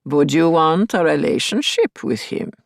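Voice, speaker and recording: An actress voicing an older woman clinical mentor in an audio play, asking pointed questions in a measured, mildly amused way. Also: low-pitched, animated, vocal fry, no room sound, studio quality, exaggerated voice. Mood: probing, amused.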